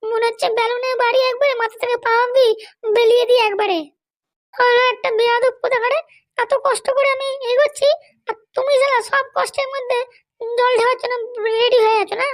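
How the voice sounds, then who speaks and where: A woman's voice: extremely high pitch, little pitch movement, brisk. A woman voicing a bossy, vengeful female politician and scolding wife in a comedy cartoon, haranguing and boastful. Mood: furious scolding.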